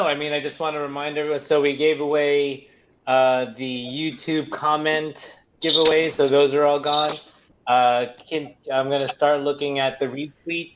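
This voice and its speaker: A young man on a webcam call running giveaways, quick and upbeat as he runs through details. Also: on the high side, lo-fi sound, a little hiss or hum. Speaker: community manager running giveaways.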